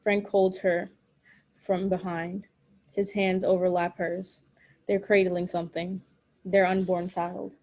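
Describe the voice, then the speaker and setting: A woman narrates a drama script in short, measured phrases. Her voice is fairly low, dark and mellow, suited to reading scene directions. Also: little pitch movement, highs cut off.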